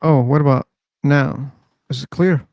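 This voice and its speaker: A man's voice: medium pitch, fairly even intonation, measured pace, darkish tone, no echo. A man hosting a casual live-stream discussion on a video call, chatting idly and repeating words while he waits. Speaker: host. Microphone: computer mic on a video call.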